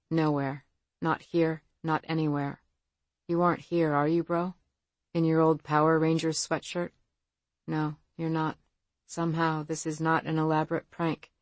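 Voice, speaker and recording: A woman's voice: low-pitched, no room sound. A woman voicing a grieving, withdrawn young man in an audiobook, with a tight voice and a weary, bitter manner. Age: young adult. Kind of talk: audiobook character voice.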